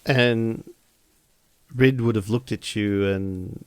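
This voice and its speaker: A man improvising his character's actions in a tabletop game, halting as he searches for words. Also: on the low side, steady tone, clean tone, dry recording. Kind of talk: improvised roleplay narration.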